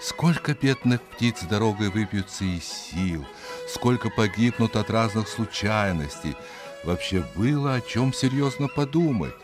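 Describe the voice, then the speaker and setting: A man narrating an animal tale as a theatrical, expressive storyteller, reading from a script. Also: lowish voice, measured pace, over music.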